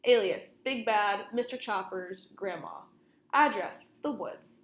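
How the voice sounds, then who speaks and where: A woman's voice: mid-range voice, slow, slight background noise. A young woman reading a children's story aloud in the manner of a storytelling session for kids. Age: young adult. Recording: roomy, echoing room sound.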